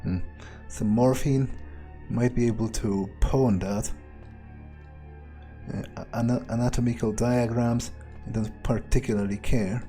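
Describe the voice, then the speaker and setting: A man's voice: mid-range voice, fairly even intonation, unhurried, warm tone, soft background music. A man doing in-character video game commentary, thinking aloud in a hesitant, musing, sardonic way with casual swearing. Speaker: gaming video creator playing in character.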